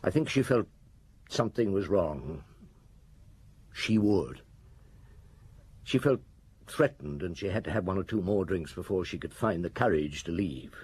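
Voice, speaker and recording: An actor in a radio crime drama playing a middle-aged, world-weary but compassionate police chief, husky and measured, speaking deliberately. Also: male voice, low-pitched, lively intonation, darkish tone, full character voice. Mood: grave, probing.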